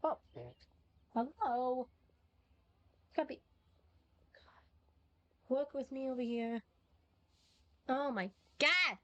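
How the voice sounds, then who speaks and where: Female streamer, fairly high-pitched, offhand gameplay chat with asides murmured to herself. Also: extremely slow, long pauses, bright, forceful tone, lo-fi sound. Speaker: streamer playing a game.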